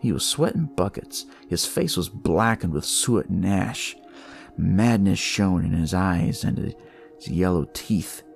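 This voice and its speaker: A man narrating dramatic noir horror in the first person, with a deliberate, brooding delivery. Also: sits low, expressive, vocal fry. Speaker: storyteller reading in first person as a detective. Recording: music behind the voice.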